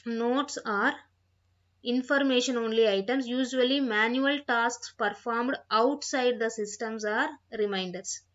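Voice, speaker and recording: A woman giving an instructional software tutorial, reading out points and repeating phrases as she explains them, on a dull, lo-fi microphone. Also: a little high, unhurried. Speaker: software trainer.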